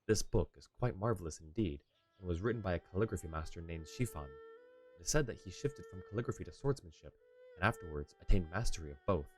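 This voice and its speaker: Man reading as a genteel bookish young swordsman, low-voiced. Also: wildly swooping pitch, fast. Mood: chatty, earnest. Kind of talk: reading game dialogue in character. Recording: game music behind.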